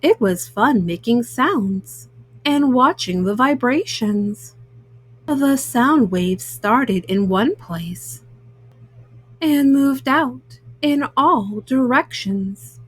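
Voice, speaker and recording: Woman, higher-pitched voice, slow pace, children's read-aloud, halting phrasing, frequent hesitations. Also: lively intonation, slightly rough, no echo. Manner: halting, word-by-word reading with frequent hesitant fillers. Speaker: storyteller, host of a children's read-aloud show. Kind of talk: reading a children's picture book aloud.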